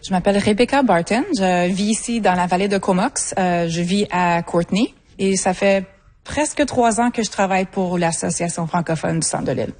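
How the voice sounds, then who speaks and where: A middle-aged woman from Montreal, a native English speaker, answering interview questions in a relaxed way with many fillers and restarts. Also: medium pitch, moderate pace. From Montreal, Quebec. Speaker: guest, association coordinator.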